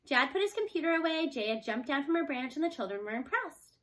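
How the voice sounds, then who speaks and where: A woman's voice: high-pitched, very animated, fairly quick, a bit bright. A woman reading a children's novel aloud as scripted storybook narration. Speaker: storybook reader.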